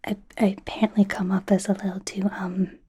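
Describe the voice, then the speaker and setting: A young woman voicing an awkward, flustered female werewolf student in a soft, whispery ASMR roleplay, stammering and rambling nervously. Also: mid-range voice, flat delivery, fairly quick. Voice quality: soft, breathy, often whispery. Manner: nervous, stammering, rambling, indignant when embarrassed.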